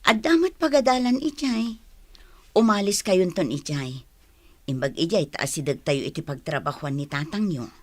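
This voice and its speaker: A woman's voice: on the low side, expressive, normal speed, no echo. A woman playing a stern, domineering mother of many children in a radio drama, with a commanding manner. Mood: matter-of-fact. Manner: commanding, scolding.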